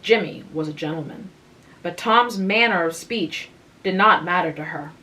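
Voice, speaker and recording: A woman giving a calm, relaxing reading of a literary short story. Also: lowish voice, rough recording. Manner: slow, calm, unhurried. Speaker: reader of literature.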